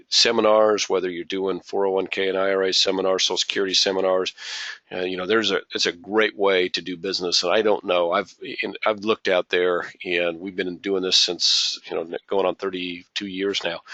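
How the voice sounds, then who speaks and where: Man, webinar host, low pitch, forceful tone, reads questions aloud, losing his voice, dull recording. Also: no echo. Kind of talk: hosting a webinar.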